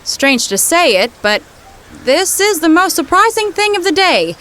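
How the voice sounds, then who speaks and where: An actress playing a plucky, determined young time traveller in a comic audio drama, brisk and assertive. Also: female voice, very high-pitched, big pitch swings, a bit bright, heavily acted. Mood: purposeful.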